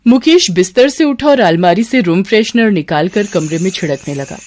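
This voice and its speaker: A woman's voice: medium pitch, animated, normal speed, darkish tone, no echo. Woman narrating a story, dry sound.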